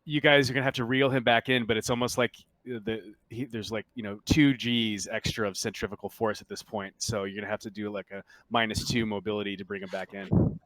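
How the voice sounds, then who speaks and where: A man running a tabletop game, narrating off the cuff in a halting, conversational, explanatory way. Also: fairly low pitch, fairly even intonation, fairly bright tone, poor audio. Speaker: game master.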